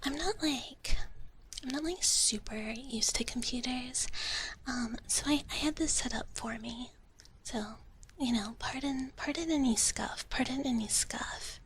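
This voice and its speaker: A woman softly whispering close to the mic as a sweet, playful young dragon big sister in live ASMR roleplay, hesitant and bubbly. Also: sits high, unhurried, slight background noise. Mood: shy, sweet. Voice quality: soft, breathy whisper. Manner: sweet, hesitant, bubbly, speaks of herself in third person. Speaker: livestream host. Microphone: close ASMR microphone.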